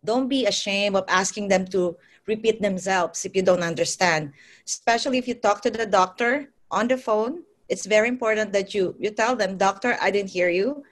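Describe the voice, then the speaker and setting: A woman with a Filipino accent and a mid-range voice, speaking as a nurse on a panel. She talks with many fillers and pauses and laughs easily. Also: medium pitch. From Philippines. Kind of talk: panel discussion.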